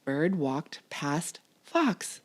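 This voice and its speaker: A woman reading a picture book aloud as a storytime narrator, deliberate and expressive for young children. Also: deep voice.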